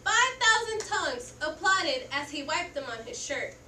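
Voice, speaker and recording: A woman's voice: high voice, sing-song, moderate pace, pushed and bright, slight background noise. A teenage girl reading a poem aloud from a script with dramatic emphasis, as part of a student reader's theatre group. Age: teens. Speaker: student performer. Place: classroom. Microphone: far camera. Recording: echoey classroom from a far camera.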